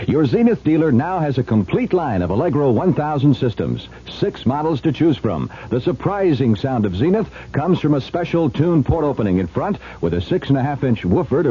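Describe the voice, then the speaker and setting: Mellow, husky male radio announcer. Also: mid-range voice, big pitch swings, normal speed, hardly any pauses. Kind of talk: scripted commercial read. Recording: dull lo-fi 1970s radio recording.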